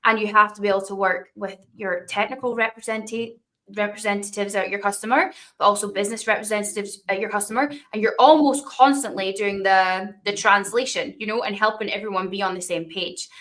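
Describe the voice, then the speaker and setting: A woman hosts a podcast interview in a casual, friendly way, often checking in with "right?". Her voice is bright and forward, at an ordinary middle pitch. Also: steady tone, brisk. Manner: friendly, frequent "yeah" and "right?". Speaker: podcast host.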